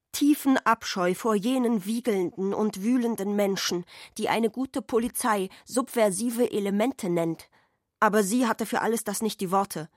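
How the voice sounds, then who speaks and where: A woman's voice: mid-range voice, no echo, studio quality. A woman reads literary narration for a radio play. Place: dry radio studio.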